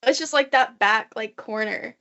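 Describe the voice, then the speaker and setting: Young woman podcast co-host, rambling with fillers, higher voice, muffled lo-fi sound. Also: normal speed, bright, forceful tone. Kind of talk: casual chat. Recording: muffled lo-fi recording.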